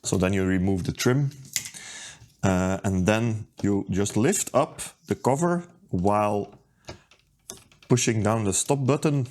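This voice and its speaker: A man talking through a hands-on repair at his workbench, unhurried and thinking aloud with plenty of ums and uhs. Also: on the low side, a little mellow. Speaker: repair video host. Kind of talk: casual how-to narration.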